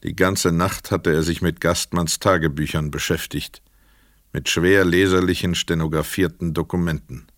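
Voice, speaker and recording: Deep, dry male radio-play narrator. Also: fairly even intonation, moderate pace, no echo. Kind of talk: literary scripted narration of a crime radio play.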